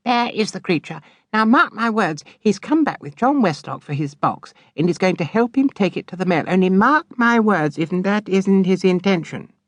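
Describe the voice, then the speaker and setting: A man voicing a sharp, bossy, mercenary young woman in an audiobook, with a pointed, scolding manner. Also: high-pitched, lively intonation, moderate pace, no echo, voice pushed up. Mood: indignant.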